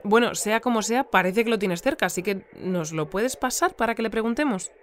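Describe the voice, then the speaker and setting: A woman's voice: medium pitch, lively intonation, brisk, slight background noise, lightly acted. A woman playing an earnest, easily flustered news bulletin presenter in an audio fiction. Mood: questioning. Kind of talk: fiction podcast news broadcast parody.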